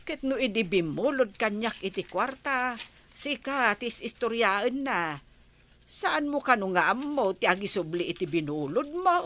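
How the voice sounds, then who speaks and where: A woman's voice: on the high side, animated, unhurried, fairly bright tone. A woman playing a nosy, irritable village wife who nags and gossips, in a radio drama with an Ilocano accent. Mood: gossipy. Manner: nagging, exclamatory, gossipy. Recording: noticeable background noise.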